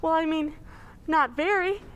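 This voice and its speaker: A timid young-lady sidekick acted by a woman speaks in a very high, nervous voice. She sounds easily alarmed, in old radio mystery style, over faint hiss. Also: unhurried, a bit bright, highs cut off. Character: jittery, timid lady companion to a sleuth. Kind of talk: old-time radio drama acting. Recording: faint hiss and room ambience.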